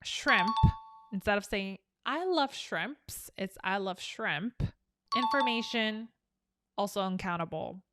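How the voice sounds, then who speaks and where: A woman's voice: medium pitch, lively intonation, slow, over loud music. A young woman from South Korea, teaching an English lesson in a chatty, upbeat way. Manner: chatty and upbeat, explaining with examples. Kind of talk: casual online English lesson.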